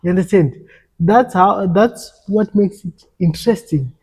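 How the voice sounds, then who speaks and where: A man's voice: sits high, unhurried, darkish tone, slightly rough. A young African man talking earnestly in a casual podcast conversation, often repeating and restarting his words.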